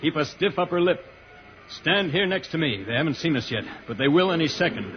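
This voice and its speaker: A man's voice: fairly high pitch, animated, fairly quick, natural voice. An actor playing a grave, urgent, heroic alien spaceman in an old-time radio serial. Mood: tense resolve. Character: earnest, heroic alien spaceman, puzzled by Earth slang. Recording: noisy, muffled old radio recording.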